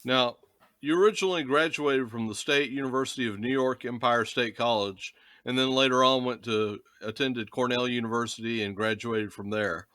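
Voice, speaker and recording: Male interview host, formal and polished; tense, bright tone, dead-dry recording. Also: mid-range voice, moderate pace, no echo. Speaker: show host. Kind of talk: interview hosting.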